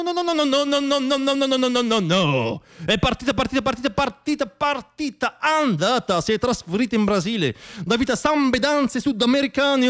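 High-pitched man performing a theatrical comic monologue. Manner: self-deprecating, wry, repeats words for effect. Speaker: monologue storyteller and stage performer.

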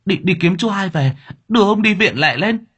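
A man doing a shrill, panicked woman. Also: expressive, normal speed, a bit bright, slightly rough, full character voice. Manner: shrill, pleading. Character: frantic middle-aged woman, daughter-in-law. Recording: dull lo-fi recording.

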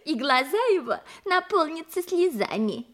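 An actress playing a vain, pampered, coquettish courtesan of ancient Greece in a theatrical audio drama, with an affected, gushing manner. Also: female voice, high-pitched, big pitch swings, normal speed, a bit bright. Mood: dreamy, coquettish.

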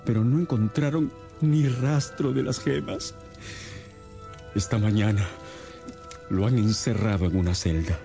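A troubled, earnest gentleman of middle age in a radio drama. His voice is soft-edged and mellow, with agitation running through it, and music plays faintly underneath. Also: fairly low pitch, unhurried, gravelly, pauses often. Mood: anguished narrating. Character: distressed respectable older father, a Victorian gentleman. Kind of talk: Spanish-language radio drama acting.

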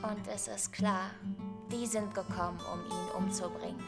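A woman's voice: mid-range voice, steady tone, normal speed, vocal fry, lightly acted. An actress playing a pensive female storyteller, spinning a dreamlike tale in a radio play. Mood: ominous, hushed. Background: prominent plucked guitar music.

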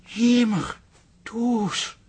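An actor playing a concerned, plain-spoken grown son in a naturalistic radio drama. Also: male voice, very high voice, expressive, drawn-out words, vocal fry, darkish tone, husky, exaggerated voice. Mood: worried.

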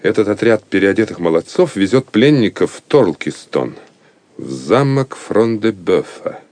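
An actor playing a bold, decisive outlaw archer chief in an audio drama, giving clipped, authoritative orders. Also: male voice, lowish voice, sing-song, a little slow, a little mellow. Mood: resolute.

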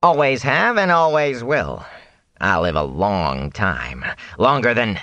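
A man voicing a ragged, wary peddler in an audiobook, whining and self-pitying in a hoarse rasp. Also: on the low side, sing-song, slow pace, pushed and bright, dry recording, highs cut off, full character voice. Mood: indignant boasting. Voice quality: raspy, hoarse. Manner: whining, jittery, aggrieved. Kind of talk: audiobook character voice.

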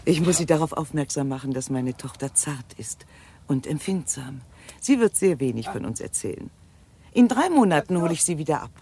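A woman's voice: low voice, lively intonation, normal speed, dark tone. A woman playing an imperious, agitated noblewoman in a German radio drama, speaking theatrically and formally with urgency. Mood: grave, gracious.

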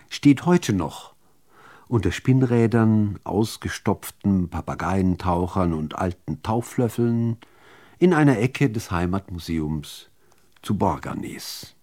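Slow, expressive man reading literary prose. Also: on the low side. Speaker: narrator, reader of literary prose and poems. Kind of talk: scripted literary narration for radio.

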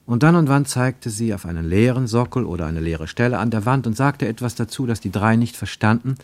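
A man's voice: mid-range voice, animated, fairly quick, darkish tone, no room sound. A man reading a literary story as a scripted narration.

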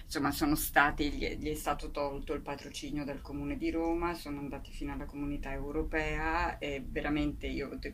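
A woman's voice: low voice, slight background noise. A woman talking emphatically and at length in a conversational interview. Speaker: actress presenting a show.

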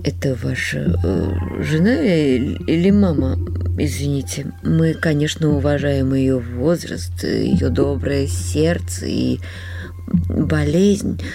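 A woman's voice: deep voice, slow pace, creaky, mellow, faint music behind, exaggerated voice. An actress playing a grey-haired aristocratic lady in a radio drama, tactful and hesitant but politely indignant.

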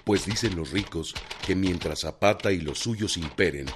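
An actor playing a grave reader of an old newspaper report in a radio drama, solemn and emphatic. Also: male voice, sits low, normal speed, natural voice. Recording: clearly noisy, clicking background.

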